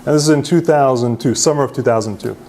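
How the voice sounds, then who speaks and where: A man presents to a meetup crowd. He is self-deprecating and casual, often tags his points with a quick "right?" and restarts his sentences as he goes. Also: mid-range voice, a little mellow, a little hiss or hum. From Serbia. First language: Hungarian. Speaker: presenter at user group meetup. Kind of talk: conference talk with slides.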